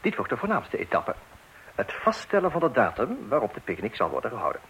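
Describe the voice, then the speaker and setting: Methodical, mock-solemn father-narrator, with an animated pitch range. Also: brisk, slight background noise, rough recording. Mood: instructive. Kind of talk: Dutch radio play acting.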